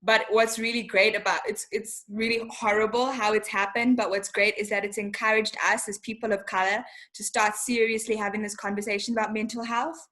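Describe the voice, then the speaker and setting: A woman's voice: medium pitch, level tone, brisk, hardly any pauses, a bit bright. A young woman from Zimbabwe, a TV host talking as an interview guest, speaking frankly and animatedly with casual slang.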